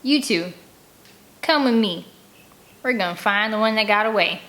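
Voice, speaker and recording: A woman's voice: mid-range voice, normal speed, a bit bright, close to an everyday voice. A woman voicing a menacing, bullying backwoods outlaw mother in a read-aloud storybook, with a snarling manner. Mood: menacing, demanding.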